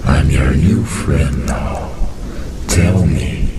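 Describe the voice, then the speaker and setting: A man voicing a sinister, coaxing big bad wolf in an analog horror animation, menacing, drawn-out and falsely friendly. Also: extremely low pitch, animated, full character voice. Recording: very noisy analog horror soundtrack.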